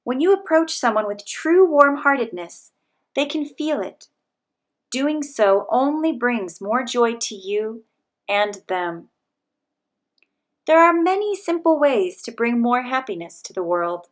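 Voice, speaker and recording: Gentle woman reading a picture book. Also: a little high, animated. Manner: gentle, measured, speaking to children. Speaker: children's book reader.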